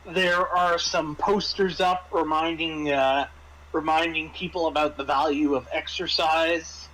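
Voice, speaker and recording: Halting, bright-voiced man running a game. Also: fairly high pitch, steady tone, rough recording. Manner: halting, repeats words while searching for phrasing. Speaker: game master. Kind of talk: improvised tabletop game narration.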